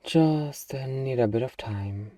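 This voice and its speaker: An eager, harmless little demon, played by a man speaks in a very dark, relaxed voice, close and dry. The delivery is slow and coaxing, full of hesitant hums and playful politeness, in the style of a comedic ASMR roleplay. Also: mid-range voice, no room sound, natural voice. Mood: mildly defensive. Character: eager, friendly, bumbling little demon named Amber.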